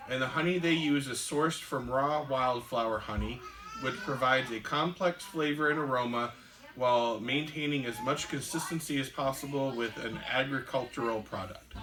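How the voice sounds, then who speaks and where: A man's voice: medium pitch, moderate pace, a crowd in the background, rough recording. A man co-hosting a casual podcast, telling a long story with many "um"s and "uh"s. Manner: rambling storyteller with many fillers. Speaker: podcast co-host. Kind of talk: casual chat.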